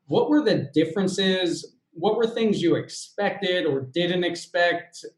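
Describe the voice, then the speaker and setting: A man from the United States hosting an interview, talking in a relaxed, easygoing way with fillers. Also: high voice, takes it slowly. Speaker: host. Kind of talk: casual interview chat. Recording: echoey room.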